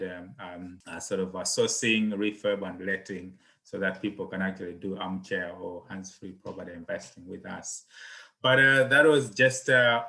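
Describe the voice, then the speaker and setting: A man's voice: low-pitched, bright, forceful tone. A middle-aged man from Malawi, telling his story in an interview with many fillers and restarts. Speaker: guest, accountant and property investor. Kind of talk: conversational interview.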